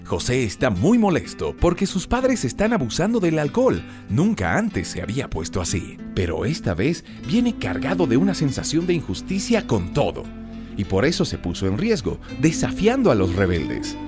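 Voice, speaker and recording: A man narrating a radio serial in a dramatic, scripted storytelling style. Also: medium pitch.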